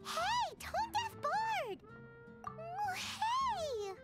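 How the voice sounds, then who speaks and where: A woman voicing a tiny, childlike fairy companion in a video game, squeaky, blunt and cheeky. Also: very animated, very slow, over music, heavily acted. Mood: exasperated. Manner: speaks of self in third person, blunt.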